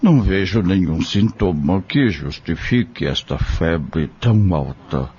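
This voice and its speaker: Homem interpretando médico brasileiro bondoso, voz bem grave. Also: male voice, deep voice, lively intonation, husky, exaggerated voice. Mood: grave, explanatory. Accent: Brazilian. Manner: slow, explanatory, gentle counsel. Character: kindly male doctor, patient, caring spiritist. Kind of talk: audio drama acting. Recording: dull lo-fi audio.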